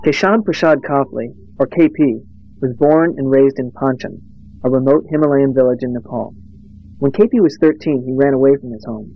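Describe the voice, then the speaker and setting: A man narrating a documentary in measured, plain storytelling. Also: medium pitch. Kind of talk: scripted narration. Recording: dull lo-fi recording.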